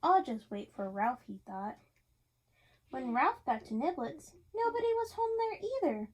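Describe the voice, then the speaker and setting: A woman's voice: high voice, very animated, moderate pace. A woman reading a picture book aloud to a child in an interactive way, encouraging and asking questions. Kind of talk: interactive storybook read-aloud.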